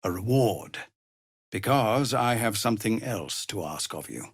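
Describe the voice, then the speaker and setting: Weary tiefling commander, honourable, formal and earnest, widely varied intonation, deliberate pace, dry close studio sound, dramatic game acting. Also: male voice, lowish voice, expressive, breathy edge, dry recording, exaggerated voice. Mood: grave, earnest.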